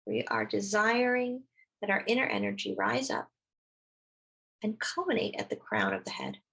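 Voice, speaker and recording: A woman leading a guided meditation, speaking slowly and soothingly as she gives gentle instructions. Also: medium pitch, huge pitch swings, gravelly, slightly rough, poor audio.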